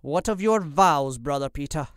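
A man's voice: medium pitch, unhurried, darkish tone, no echo, studio quality, natural voice. A man voicing an arrogant, contemptuous English lord with a haughty, sneering delivery in an audiobook. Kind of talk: audiobook character acting.

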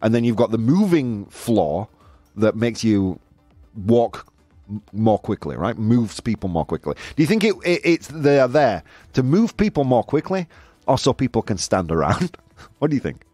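A man's voice: medium pitch, moderate pace, dry recording, faint music behind. A man hosting a casual live talk show, jokey and incredulous as he reacts to listener comments. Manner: animated, jokey, incredulous, punctuates talk with "dude".

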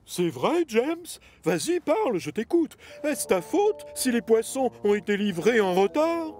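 A portly, stern railway controller in a cartoon. His voice is high and swoops widely as he scolds with authority. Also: sing-song, unhurried, darkish tone, soft background music, full character voice. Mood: stern, questioning. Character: stern, portly middle-aged male railway boss. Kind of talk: children's cartoon dub acting.

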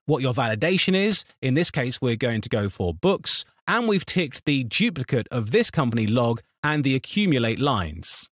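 A man narrating a scripted software tutorial in an instructional, step-by-step manner. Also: mid-range voice, very animated, normal speed, few pauses, no room sound, clean studio sound.

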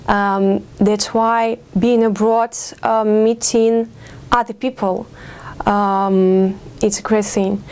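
A young woman in her twenties from Ukraine with a Ukrainian accent, giving thoughtful interview answers as a doctoral exchange student and pausing often with fillers. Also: medium pitch, a little mellow, slight background noise. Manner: thoughtful, many fillers and pauses. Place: studio with campus backdrop.

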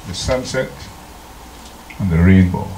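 Slow-preaching older pastor from Trinidad. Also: male voice, deep voice, warm tone, slight background noise. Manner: slow, deliberate preaching that breaks into sung hymn lines. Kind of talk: sermon. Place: church sanctuary. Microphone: handheld microphone. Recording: echoey church room.

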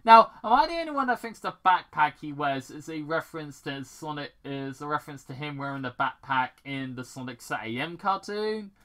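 A person reading fan fiction aloud casually, halting and repeating words, with off-script commentary. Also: medium pitch, big pitch swings, fairly bright tone.

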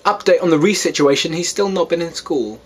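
A young man of seventeen from Wales talks in a somewhat high voice. He rambles casually, as in a homemade vlog, and pokes fun at himself. He is recorded on a webcam in a small bedroom. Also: a little fast, slightly rough. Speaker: vlogger.

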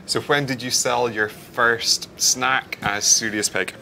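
A man's voice: mid-range voice, fairly even intonation, slow pace, bright, forceful tone, rough recording. A man in his thirties asking questions as an interviewer in a casual conversation. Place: indoors in a bar. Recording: clear background ambience noise.

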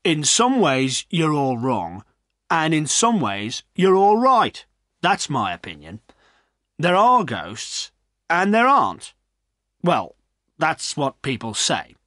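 A man's voice: fairly high pitch, wildly swooping pitch, slow, a bit bright, no echo, clean studio sound, voice pushed up, full character voice. A man voicing a village innkeeper in a storybook, firm and placating as he keeps the peace.

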